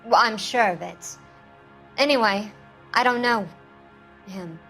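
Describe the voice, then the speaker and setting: Brash, high-voiced female small-time crook. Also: slow pace, sharp tone, faint music behind, full character voice. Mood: defensive. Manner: sassy, streetwise, pleading. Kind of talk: scripted video game dialogue.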